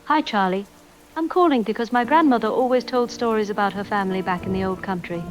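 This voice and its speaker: An actress playing an uneasy, earnest radio phone-in caller, hesitantly recounting old family folklore on a dull line. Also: female voice, mid-range voice, fast, over music, lightly acted. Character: uneasy woman caller recalling grandmother's old-country warnings. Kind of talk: radio drama phone-in storytelling. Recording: dull band-limited phone-in sound.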